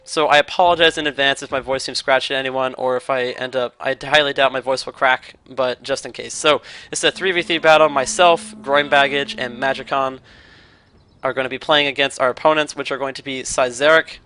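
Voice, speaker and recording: Bright, clear-voiced man, slightly scratchy. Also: mid-range voice, steady tone. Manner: upbeat, brisk play-by-play explaining. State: recovering from illness, voice a bit scratchy. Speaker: gaming commentator. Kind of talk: commentary on a strategy game replay.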